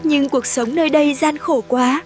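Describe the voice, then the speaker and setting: A woman's voice: high-pitched, fairly even intonation, moderate pace. A woman narrating a scripted fairy tale for children over music. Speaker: storyteller.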